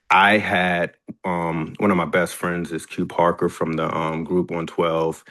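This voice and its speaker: Deep, creaky-voiced man chatting casually. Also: fairly bright tone. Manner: hesitant, searching for details, often repeating words. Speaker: filmmaker and screenwriter. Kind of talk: casual question-and-answer chat.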